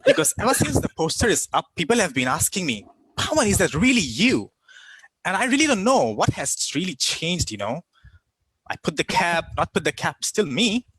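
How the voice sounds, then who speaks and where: Male stand-up comic from India, bright, forceful voice with a rough edge. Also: high voice, sing-song, normal speed, dry recording, poor audio. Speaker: stand-up comedian. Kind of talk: stand-up comedy monologue.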